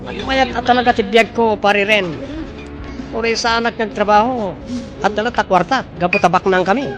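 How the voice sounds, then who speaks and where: A woman's voice: medium pitch, fairly even intonation, moderate pace, dull audio, over music, lightly acted. A woman playing a carefree, easygoing friend in an audio drama, talking breezily with an Ilocano accent.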